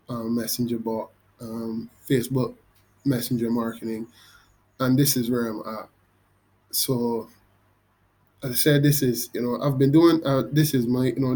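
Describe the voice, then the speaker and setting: A man's voice: mid-range voice, a little mellow. Hesitant Barbadian man vlogging. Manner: hesitant, many ums and restarts. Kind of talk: casual screen-recorded walkthrough.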